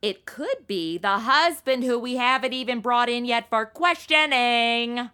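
Wisecracking young detective sidekick, sarcastic, lively swooping voice, fairly high, as a woman acts her. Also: measured pace, smooth. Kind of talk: comedy radio-play acting.

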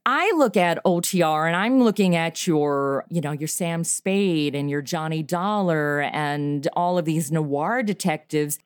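A woman's voice: fairly low pitch, no room sound, clean studio sound. A woman in her forties, a comedy podcast creator, talking enthusiastically in an interview and laughing easily. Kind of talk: interview conversation.

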